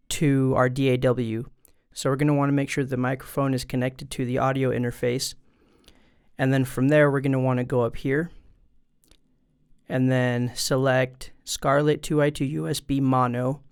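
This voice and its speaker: A man with a smooth, clean voice at an average pitch walks viewers through instructions. He speaks informally and straight to the listener, step by step, into a studio microphone. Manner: informal, talking directly to viewers. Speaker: tutorial presenter. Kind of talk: casual step-by-step instructional explanation. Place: indoors at a desk. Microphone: studio microphone through an audio interface.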